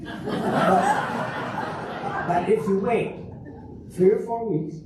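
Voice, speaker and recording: An older man acts out a stingy, scheming old husband in a folksy stage comedy. His voice sits high, halting and repeating phrases. It is heard from far off in a very echoey, noisy hall. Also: a loud crowd. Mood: smug. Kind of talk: amateur reader's theater comedy performance. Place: large echoey room, live stage reading.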